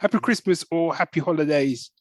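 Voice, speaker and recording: A man's voice: a little high, a little fast, no echo, studio quality. A man co-hosting a podcast, chatting casually and acting out scenes with lots of laughter. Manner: animated, laughs often, acts out scenes in quoted voices. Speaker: podcast co-host. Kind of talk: casual chat.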